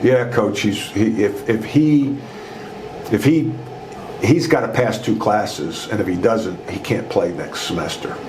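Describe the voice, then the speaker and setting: Nervous assistant coach, hesitant and a bit low, put on by a man retelling a story. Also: a little slow, background noise, lightly acted. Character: worried male assistant basketball coach.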